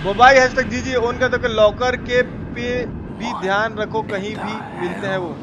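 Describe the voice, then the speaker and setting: High-pitched excitable Indian man streaming. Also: a little slow, crowd noise. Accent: eastern Indian Hindi. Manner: excitable, crude slangy exclamations. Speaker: game live-streamer. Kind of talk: casual gameplay commentary. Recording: noisy game sound behind voice. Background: horror game sound.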